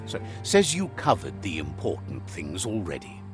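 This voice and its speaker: A man narrating a video game in a measured storytelling style, relaying what the characters say. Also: low voice, wildly swooping pitch, music in the background.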